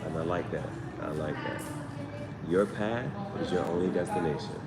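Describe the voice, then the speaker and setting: A man's voice: low-pitched, moderate pace, a loud crowd. A man giving a casual live tarot reading, conversational and slangy, often repeating his phrases as he thinks aloud. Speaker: tarot reader, channel host. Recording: echoey room with loud background noise.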